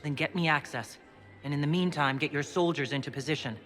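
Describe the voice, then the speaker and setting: Direct, inquisitive leader. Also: female voice, low-pitched, a little fast. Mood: questioning. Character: female leader of a holy order, questioning and decisive. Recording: game dialogue over loud music.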